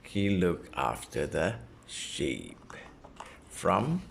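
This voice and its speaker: Man, low gravelly voice, very slow deliberate children's read-aloud, lively intonation, some background noise. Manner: deliberate, repeating and simplifying phrases for a child. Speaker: parent reading a picture book aloud to a child.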